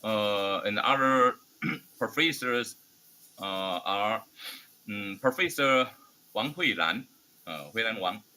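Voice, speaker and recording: A man with a Taiwanese accent and Mandarin as his first language, hosting an online academic forum with a formal but halting, hesitant delivery. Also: fairly low pitch, lively intonation, pushed and bright. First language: Mandarin Chinese. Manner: halting, hesitant non-native English delivery. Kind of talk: formal welcome speech.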